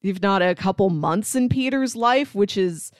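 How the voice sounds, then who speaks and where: A woman's voice: mid-range voice, animated, normal speed, dry recording. Enthusiastic woman in a dry, close recording. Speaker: podcast co-host. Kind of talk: casual film discussion.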